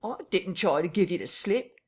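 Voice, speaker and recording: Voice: mid-range voice, sing-song, moderate pace, darkish tone, breathy edge, voice pushed up. A reader voicing a frightened, self-pitying tramp, plaintive and close to tears. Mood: whining. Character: whining frightened tramp, middle-aged man.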